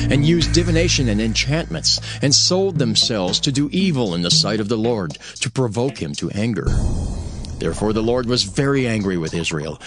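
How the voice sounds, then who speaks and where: A man narrating dramatized scripture in a solemn, declamatory storytelling manner. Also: mid-range voice.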